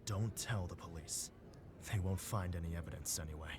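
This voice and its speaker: A man's voice: low-pitched, a little fast, natural voice. An actor playing a menacing, hot-tempered man in a video game, barking commands and threats over noisy ambience. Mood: stern. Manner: threatening, commanding, prone to yelling. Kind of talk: dramatic video game voice acting.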